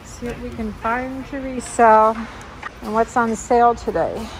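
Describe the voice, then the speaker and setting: High-voiced woman chatting while she shops. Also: fairly even intonation, unhurried, slight background noise, low-quality recording. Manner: chatty running commentary, thinking aloud. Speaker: vlog host, thrift store reseller. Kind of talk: casual shop-along vlog chat. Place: large indoor warehouse-style thrift store. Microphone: handheld phone filming the shelves.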